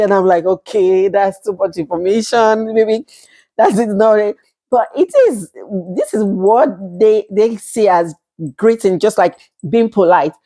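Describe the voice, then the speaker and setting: Nigerian woman, 30s, casual vlog host, talkative with easy laughs, mid-pitched voice. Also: warm tone. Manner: chatty, laughs often, acts out quoted exchanges. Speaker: vlogger. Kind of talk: casual talk to camera.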